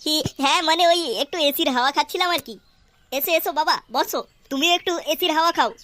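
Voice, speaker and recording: Squeaky cheeky cartoon son, exaggerated giggly schemer, pretty noisy track. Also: fast talker, a bit bright. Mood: sheepish. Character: mischievous young son, sheepish, boastful schemer. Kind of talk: comic Bangla cartoon dubbing.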